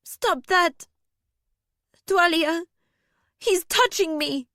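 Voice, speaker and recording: A woman's voice: very high-pitched, fairly even intonation, slow pace, fairly bright tone, no echo, studio quality, pitched above the performer's own voice, exaggerated voice. A woman voicing a frantic young woman in an audiobook, pleading breathlessly in panic and defensiveness. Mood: outraged, terrified. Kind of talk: audiobook character voice.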